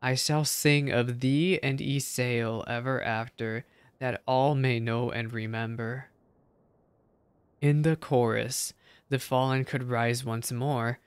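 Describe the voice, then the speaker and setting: A man voicing a solemn, wise dragon in a video game, speaking in formal, archaic, measured recital. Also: medium pitch, clear, resonant tone, no echo.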